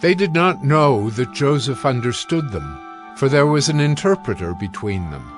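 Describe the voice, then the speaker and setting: Male narrator, scripted scripture reading, measured delivery, mid pitch, faint background music. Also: animated.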